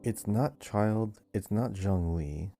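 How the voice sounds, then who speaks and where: A man co-hosting a podcast as quiz contestant, thinking aloud casually in a halting, self-questioning way. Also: fairly low pitch, warm tone, soft background music.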